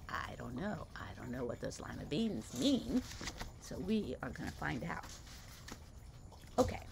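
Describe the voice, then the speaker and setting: A woman's voice: medium pitch, vocal fry, background noise. An older woman, a grandmother, reading a picture book aloud and chatting about the pictures between pages. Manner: warm storytelling, comments on the pictures, lively.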